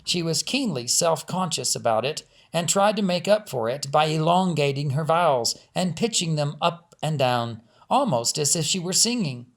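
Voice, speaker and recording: A man's voice: on the high side, expressive, normal speed, hardly any pauses. A man reading a family story aloud as scripted audiobook narration. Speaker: author reading own audiobook.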